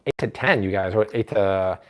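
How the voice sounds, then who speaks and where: A man's voice: deep voice, normal speed. A man in his thirties hosting a live cooking stream from a home kitchen, chatting with viewers and reading their comments. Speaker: cooking show host.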